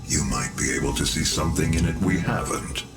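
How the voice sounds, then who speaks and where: An actor playing a grave, measured director of a sci-fi research facility in a video game, with a gravelly voice. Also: male voice, rumbling low, recorded from a distance, over loud music. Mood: solemn, inviting. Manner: measured, solemn. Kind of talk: video game cutscene acting.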